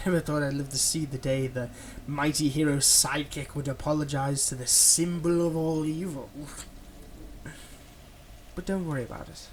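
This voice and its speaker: A man playing a manipulative, soothing male villain in an ASMR roleplay, speaking in a soft, coaxing and dramatic way with deliberate pauses. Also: on the high side, steady tone, slight background noise, natural voice. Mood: gentle, reassuring. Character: manipulative, soothing male supervillain, tenderly possessive of his captive.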